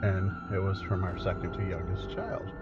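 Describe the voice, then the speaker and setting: Middle aged guy telling a spooky story, kinda low voice, loud music all over it, muffled lo-fi sound. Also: little pitch movement, normal speed. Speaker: paranormal investigator telling his own story.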